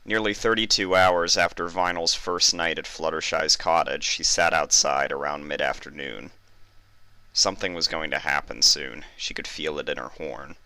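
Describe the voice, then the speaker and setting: A man's voice: extremely low pitch, steady tone, normal speed, sharp tone, dry recording. A man giving a scripted narration of a fan story.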